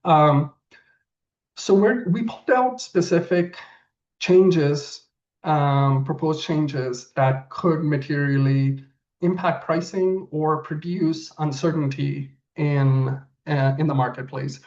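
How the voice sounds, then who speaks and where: A person with a sore throat presenting pricing points in a webinar over a video call, speaking in halting, list-like phrases. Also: mid-range voice, a little mellow, lo-fi sound.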